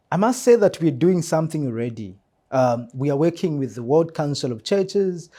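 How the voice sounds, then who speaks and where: A man with a Zambian accent speaks in a slightly high, warm and relaxed voice. He answers like a church official in an interview, earnest and measured, leaning on repeated phrases to press his point. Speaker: church mission secretary.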